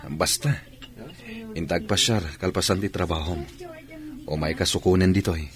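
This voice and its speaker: A man's voice: deep voice, steady tone, normal speed, a crowd in the background, lightly acted. A man acting an insistent, pleading suitor in a radio drama, with a Filipino accent.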